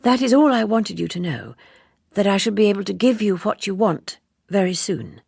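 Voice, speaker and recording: Woman voicing a prim, earnest, husky typist. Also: mid-range voice, lively intonation, normal speed, a little mellow, no echo, studio quality, voice pushed up. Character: proud, earnest female typist, reserved but eager. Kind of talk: audiobook character dialogue.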